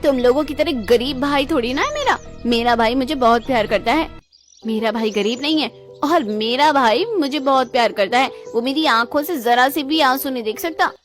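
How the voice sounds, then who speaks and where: A woman's voice: sits high, moderate pace, soft background music, lightly acted. A woman voicing a spoiled, envious young sister in a cartoon, whiny and dramatic. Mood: boastful. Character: spoiled, envious young sister craving her brother's affection.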